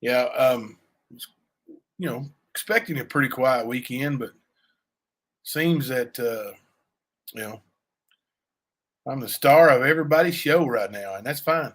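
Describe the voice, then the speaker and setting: A man with a raspy voice, chatting loosely on a livestream and answering viewers, full of fillers and asides. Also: mid-range voice, unhurried, long pauses, fairly bright tone. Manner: rambling, many fillers and asides to chat. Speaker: livestream cohost; youth basketball coach. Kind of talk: casual livestream chat.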